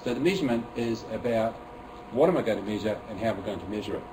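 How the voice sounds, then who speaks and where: Fast-talking New Zealand man presenting to teachers. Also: lowish voice, expressive, distant mic, noisy background. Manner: chatty and self-deprecating, many fillers and restarts. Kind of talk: workshop presentation. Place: room with an audience.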